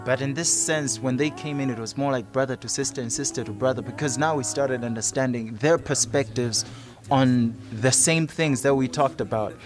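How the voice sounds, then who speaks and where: A man's voice: mid-range voice, normal speed. Explanatory young man over background music. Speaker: youth theatre project facilitator. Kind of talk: documentary interview account. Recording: music playing under the voice.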